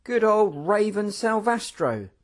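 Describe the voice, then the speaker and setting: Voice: mid-range voice, very animated, slow pace, pitched above the performer's own voice. A reader voicing a cocky, jaunty man who brags about his escapes. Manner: jaunty, boastful. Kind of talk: audiobook character voice.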